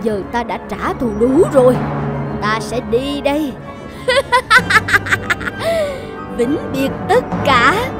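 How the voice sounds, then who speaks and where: High-pitched bitter vengeful ghost. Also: female voice, animated, takes it slowly, long pauses. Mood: bitter triumph. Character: vengeful cruel ghost, gloating over finished revenge. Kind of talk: animated folk tale acting. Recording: music under the voice.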